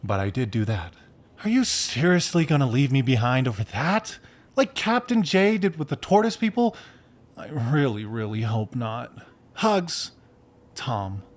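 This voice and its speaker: An actor playing a dramatic, needy, exasperated geeky best friend in a game, with a theatrical, emphatic, rambling delivery. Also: male voice, medium pitch, lively intonation, unhurried, lightly acted. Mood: pleading.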